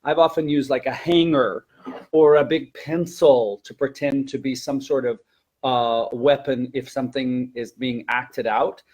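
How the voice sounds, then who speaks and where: Male teacher-presenter, medium pitch, informal instructional delivery with an emphatic, explanatory manner. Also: steady tone, measured pace, a little mellow. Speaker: language teacher, webinar presenter. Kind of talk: informal instructional webinar talk.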